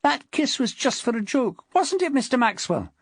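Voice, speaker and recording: A woman's voice: on the high side, big pitch swings, moderate pace, husky, no room sound, clean studio sound, pitched above the performer's own voice, exaggerated voice. A woman voicing a placating, consoling woman with a sing-song, almost hypnotic delivery in an audiobook. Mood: pleading. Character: placating woman soothing her dangerous ex-husband, sing-song.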